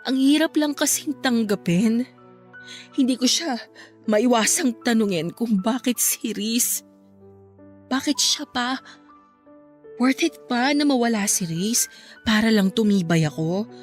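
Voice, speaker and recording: A woman's voice: a little high, a little slow, pauses often, lightly acted. A young woman playing a bereaved, heartbroken woman in a Filipino radio drama, speaking in a plaintive, emotional way. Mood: anguished, bitter. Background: music.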